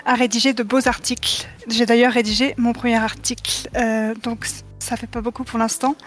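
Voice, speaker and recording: A woman's voice: fairly high pitch, flat delivery, normal speed, faint music behind. A woman in her thirties talking casually as a podcast panelist. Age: 30s. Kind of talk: casual self-introduction.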